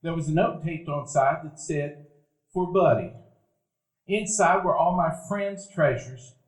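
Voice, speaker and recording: A man playing a nostalgic grown man recalling boyhood, narrating a radio play in a measured, literary way. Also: a little high, natural voice. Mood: mournful, reflective. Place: event hall before live dinner-theater audience. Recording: echoey live theater stage.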